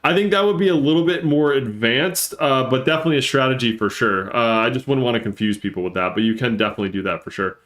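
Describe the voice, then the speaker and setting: A man's voice: mid-range voice, quick pace, few pauses, fairly bright tone. Stuffy-nosed man explaining charts casually. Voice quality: congested, stuffy nose. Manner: explanatory, repeats points for emphasis. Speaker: live-stream host, market analyst. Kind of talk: casual live chart commentary and trading lesson.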